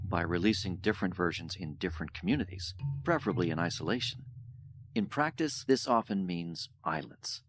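A man's voice: sits low, expressive, fairly quick, a bit bright, full character voice. An actor playing an older male professor in an audio drama, lecturing in formal, condescending, coldly rational tones. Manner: pompous, lecturing, formal wording. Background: music.

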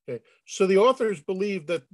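A deadpan man asking questions as a panel participant on a video call. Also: high voice, a little fast, no echo. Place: indoors on a group video call.

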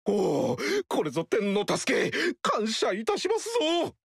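Grandiose male cult sorcerer, solemn declaiming, high swooping voice. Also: wildly swooping pitch, vocal fry, breathy edge, no echo, studio quality, exaggerated voice. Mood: proclaiming. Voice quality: booming archaic proclamations. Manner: solemn and pompous, slow declaiming. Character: grandiose male cult leader sorcerer, self-proclaimed voice of heaven. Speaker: Zhang Jiao. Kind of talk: game voice acting.

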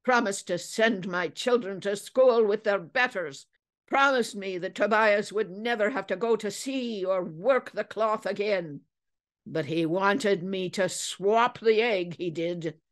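Woman putting on a shrill, creaky crazed old whaler. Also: a little slow, close to an everyday voice. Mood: ranting, vengeful. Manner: salty nautical ranting, shrieking and snarling. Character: crazed, ragged old whaler, a bearded male escaped captive.

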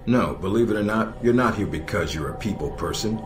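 An actor playing a stern, middle-aged American intelligence operations chief in a video game, measured and dryly sardonic. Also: male voice, on the low side, animated, noisy background, natural voice. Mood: instructive, firm. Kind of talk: video game cutscene dialogue. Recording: echoey, music underneath.

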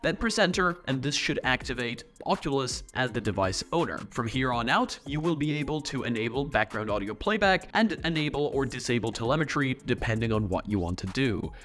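A young man recording a tech tutorial in a small home studio, walking viewers through setup steps in a clear, explanatory way. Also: mid-range voice, very animated, brisk, hardly any pauses, a bit bright, faint music behind. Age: young adult. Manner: explanatory, step-by-step instructions. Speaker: VR tech YouTuber. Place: small home studio room with neon light.

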